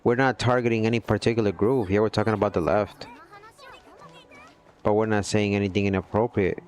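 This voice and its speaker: A low-pitched man talks loosely and earnestly to his livestream audience. He rambles and keeps checking in with "you know what I mean". Faint music plays under his voice. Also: level tone, a little fast, darkish tone. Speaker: gaming livestreamer. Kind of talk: casual chat with viewers on a livestream.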